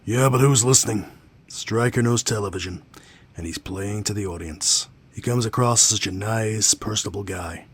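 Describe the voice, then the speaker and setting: Husky, low, wry man played by an actor. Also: moderate pace. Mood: wary.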